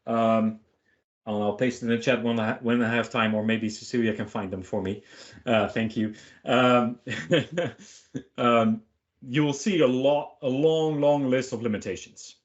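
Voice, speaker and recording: A man's voice: mid-range voice, steady tone, a little slow, lo-fi sound. A man giving a casual online tech talk and answering questions in an informal, joking way with short emphatic phrases. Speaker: featured speaker answering questions.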